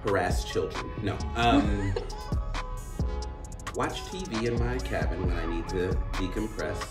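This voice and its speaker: A man's voice: low-pitched, measured pace. A man who serves as dean of students, answering interview questions with dry jokes. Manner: dry joking. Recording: echoey, distant, music under.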